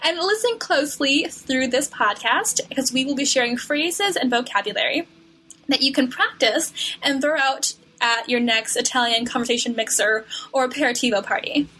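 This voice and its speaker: A woman's voice: high voice, normal speed, sharp tone, slightly rough, low-quality recording. A woman co-hosting a podcast, chatty and enthusiastic, sprinkling Italian words into her English. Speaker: podcast co-host. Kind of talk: casual podcast chat.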